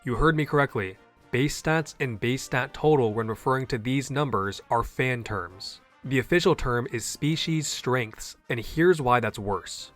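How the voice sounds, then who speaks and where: A man's voice: mid-range voice, a little slow, a bit bright, soft background music. A man hosting a scripted explainer video, earnest and opinionated, with a native English voice. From half Japanese. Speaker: YouTube host.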